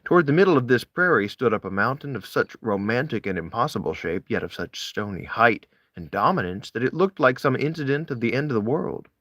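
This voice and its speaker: Male reader for literary essays: emphatic and expressive, mid-pitched with wide swings, dry recording. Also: brisk, few pauses, no room sound. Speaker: amateur audiobook reader.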